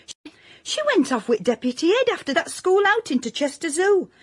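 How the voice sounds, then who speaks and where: An actress playing a nagging, gossipy middle-aged South Yorkshire mother in a comic radio drama, fussing and deadpan. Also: extremely high pitch, normal speed. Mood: disapproving.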